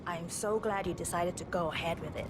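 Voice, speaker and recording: A woman's voice: on the low side, a little fast, lightly acted. A woman voicing an anxious, devoted lab assistant who speaks cautiously and hesitantly in a dramatic video game. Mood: earnest, anxious. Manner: hesitant, pleading. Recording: clear background noise.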